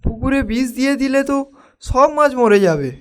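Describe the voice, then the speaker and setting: A man's voice: extremely high pitch, lively intonation, a little fast, clear, resonant tone. A man acting a humble, anxious village fisherman in a cartoon, with a meek, pleading way of speaking. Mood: distressed.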